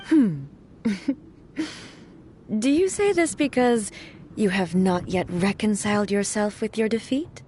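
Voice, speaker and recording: An actress playing a solemn, reflective ruler deity in a video game, speaking in a measured, formal and gentle way. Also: female voice, mid-range voice, expressive, a little hiss or hum. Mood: amused. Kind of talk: dramatic scripted video game dialogue. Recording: long reverb, music behind.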